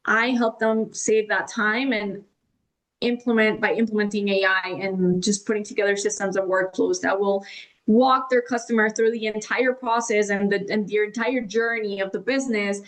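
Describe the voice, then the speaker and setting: A woman's voice: medium pitch, fairly even intonation, moderate pace, rough recording. A woman whose first language is Spanish, answering interview questions fluently and explaining her consulting work, often adding "right?" and "like". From Colombia. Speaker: guest, AI automation consultant.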